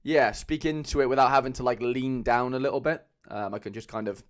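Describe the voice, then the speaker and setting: A man's voice: mid-range voice, fairly even intonation, brisk. Chatty young man with a Cardiff accent. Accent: Cardiff Welsh. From Wales. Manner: chatty, loose, many fillers, calls viewers lads. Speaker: livestream host. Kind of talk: casual livestream chat. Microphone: mic on a boom arm.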